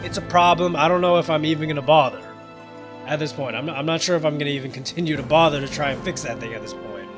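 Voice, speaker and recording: A man's voice: on the high side, fast. A tired young man hosting a casual let's-play, profane and laughing, talking back at the game late at night. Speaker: gaming channel host. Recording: game music loud behind voice.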